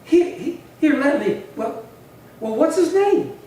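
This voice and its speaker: A man playing a proud, hot-headed cowboy with a rough, folksy way of talking, in a Western radio drama. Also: very high voice, animated, takes it slowly, dark tone, full character voice. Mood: stunned. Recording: echoey hall, distant mic, faint noise.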